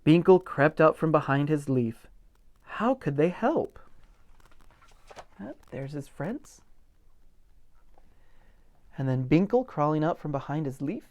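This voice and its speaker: A man reading a picture book aloud as a pastor at storytime, with gentle expression and simple asides for young children. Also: on the high side, slow pace, long pauses. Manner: gentle, expressive, stretches key words for emphasis.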